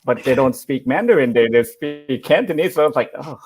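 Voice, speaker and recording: A young man from Thailand whose first language is Thai, speaking English as a guest on a live video call, softly and with hesitant pauses. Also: mid-range voice, lively intonation, soft background music. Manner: soft-spoken, hesitant. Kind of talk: casual live chat.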